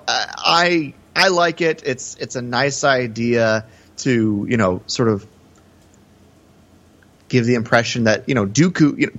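A man with a medium-pitched voice chats as a film podcast co-host. He is hesitant and musing, feeling his way through each thought, on slightly muffled audio. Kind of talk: casual film discussion.

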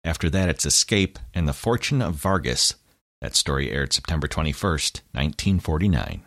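A man's voice: rumbling low, sing-song, moderate pace, creaky, a bit bright. A man hosting a radio drama show with a scripted introduction. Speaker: show host. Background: music.

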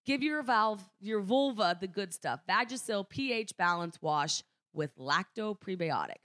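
A woman's voice: mid-range voice, lively intonation, a little slow, a bit bright, dry recording. Young woman cohost, blunt and teasing, bouncy voice, dry close sound. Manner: blunt, teasing, reads ad copy with jokes. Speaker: podcast co-host. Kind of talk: casual chat and host-read ad.

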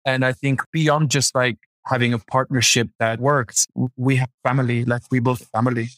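Relaxed man from Germany, chatting easily. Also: medium pitch, normal speed, dry recording. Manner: relaxed, loose, with filler words. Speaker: guest designer. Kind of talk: casual interview answer.

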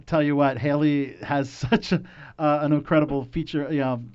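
A man interviewing an actress, enthusiastic and quick to laugh. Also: a little high, steady tone, darkish tone, smooth. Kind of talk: casual interview. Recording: dull lo-fi sound.

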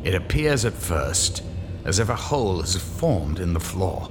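A man's voice: low voice, big pitch swings, unhurried, noisy background. A man playing the narrator of a radio horror drama, grave and suspenseful in his dramatic scripted delivery. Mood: ominous.

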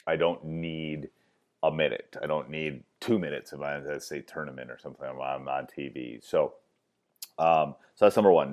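A man with a loud voice teaching basketball coaching tips, emphatic and repetitive, with frequent "um"s. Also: extremely low pitch. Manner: emphatic, repeats key points, many fillers. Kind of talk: coaching clinic talk.

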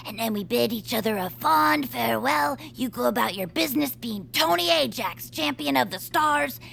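An eager young superfan who fancies herself a space commander. Her high voice bounces around and carries a strong gravelly rasp. She pitches schemes persuasively. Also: animated, moderate pace, a bit bright, a little hiss or hum, heavily acted. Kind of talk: audio drama acting.

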